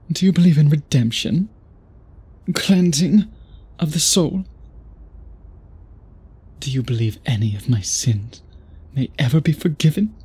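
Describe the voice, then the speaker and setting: Man acting as a slow, breathy, tormented vampire suitor. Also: medium pitch, mellow, heavily acted. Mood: brooding, bitter. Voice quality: breathy, husky. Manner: formal, theatrical, halting speech with dramatic pauses. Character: obsessed, tormented male vampire suitor, intimate and possessive. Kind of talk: intimate ASMR roleplay acting.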